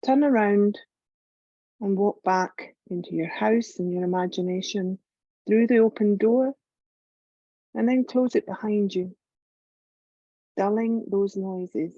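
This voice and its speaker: A woman leading a guided meditation as a yoga teacher, calm and measured, giving gentle instructions with long pauses. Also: mid-range voice, no echo, muffled recording.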